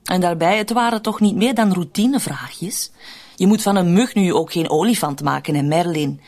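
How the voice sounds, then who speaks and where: A woman's voice: lowish voice, moderate pace, darkish tone. A woman playing an unflappable, sardonic character with a taste for the gruesome, speaking in a radio drama. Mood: calm dismissive. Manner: cool, dry, teasing.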